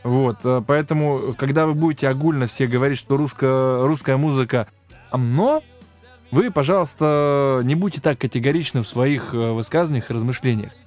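A man's voice: mid-range voice, normal speed, darkish tone, faint music behind. A man from a band, a podcast guest, making his points in an emphatic, opinionated way. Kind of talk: casual podcast interview.

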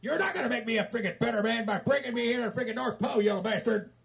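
A man playing a cranky, foul-mouthed old hillbilly who yells and laughs as he rants. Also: very high voice, rapid-fire, creaky, heavily acted. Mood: ranting. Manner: foul-mouthed, yelling, laughing while ranting.

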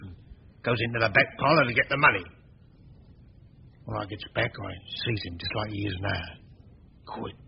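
An actor playing a prickly working-class innkeeper in a radio mystery, talking in a colloquial, grumbling, sarcastic way. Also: male voice, lowish voice, gravelly. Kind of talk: 1940s radio mystery acting. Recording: noisy, muffled old radio drama recording.

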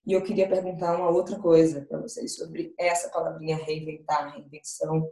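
A woman's voice: low voice, little pitch movement, fairly quick, mellow. A woman giving an interactive online talk over a video call, speaking straight to her audience and picking up their chat replies. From Salvador, Bahia, Brazil. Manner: conversational, addresses audience directly, reads out chat replies. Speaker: guest speaker in an online event. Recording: echoey room.

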